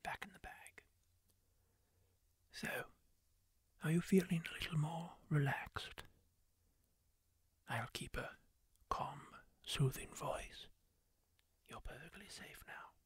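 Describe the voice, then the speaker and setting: A man doing a whispered roleplay, voicing a kindly former war surgeon who soothingly tends a wounded stranger, gentle and reassuring up close to the mic. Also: a little high. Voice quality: soft whisper, slow and soothing. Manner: gentle, reassuring, asks permission. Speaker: ASMR roleplayer.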